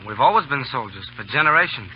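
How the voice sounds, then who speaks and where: An actor in an old radio drama playing an eager, polite, naive young lieutenant, earnest and deferential. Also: male voice, mid-range voice, big pitch swings, fast talker, slight background noise, natural voice. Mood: dutiful, tense. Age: young adult. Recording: muffled, humming old radio broadcast.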